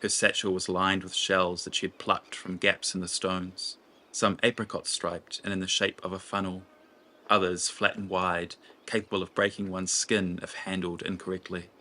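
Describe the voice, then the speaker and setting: A man narrating a scripted fantasy audio drama in a deliberate, literary storytelling manner. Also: low voice, little pitch movement, bright, forceful tone.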